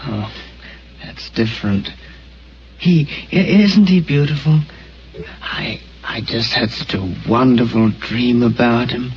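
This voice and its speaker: A man's voice: mid-range voice, slow pace, a little hiss or hum, close to an everyday voice. A man playing a dreamy, childlike young man. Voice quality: stammering, childlike wonder. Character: gentle, simple-minded, dreamy young man. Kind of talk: 1940s radio acting. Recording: muffled old radio broadcast.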